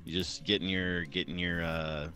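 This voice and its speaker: A young man with a deep radio-style voice chatting casually on a live stream while he narrates his design work. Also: flat delivery, normal speed, pushed and bright, soft background music. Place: small room at home. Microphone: headset or desk mic at a computer.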